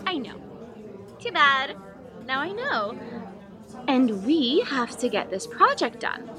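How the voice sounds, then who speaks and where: Young two-faced female student, played by an actress, high swooping voice, sugary yet spiteful, audio drama, crowd murmur behind. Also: sing-song, takes it slowly, full character voice. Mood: snide, scheming. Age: young adult. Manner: sugary and coaxing, switching to snide. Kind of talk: acted audio drama dialogue.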